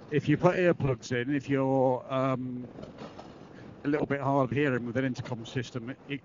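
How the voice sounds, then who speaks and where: A man's voice: mid-range voice, slight background noise. A man chatting casually as a motorcycle reviewer while he rides, talking over a helmet intercom. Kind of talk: casual chat while riding. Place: outdoors, riding a motorcycle. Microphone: helmet intercom mic. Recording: dull, muffled helmet intercom.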